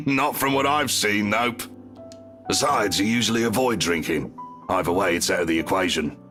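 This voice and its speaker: An actor playing a gruff, teasing older pub barkeeper in a video game, with a blunt, wry, slangy manner. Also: male voice, on the low side, moderate pace, natural voice. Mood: gruff banter. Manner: blunt, wry, slangy, calls listeners kiddo. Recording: voice over background music.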